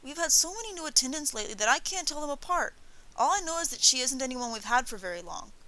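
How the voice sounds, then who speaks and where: Woman voicing a bright, eager little samurai lordling. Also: fairly high pitch, expressive, fast talker, pitched above the performer's own voice, poor audio. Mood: sulky. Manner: eager, self-important chatter. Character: lordly, eager young samurai boy, cheeky and pampered. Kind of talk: audiobook character voice.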